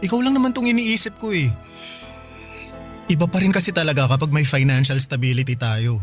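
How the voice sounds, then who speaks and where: A man with a Filipino accent playing an earnest, caring young boyfriend in a radio drama, with a gentle, coaxing manner. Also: on the high side, brisk, soft background music, lightly acted. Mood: concerned, coaxing. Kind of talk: Tagalog radio drama acting.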